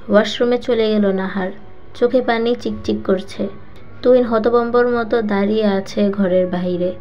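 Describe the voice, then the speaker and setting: A woman reading a serialised romantic novel aloud as scripted story narration. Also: a little high. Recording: muffled lo-fi voice track with music. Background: background music.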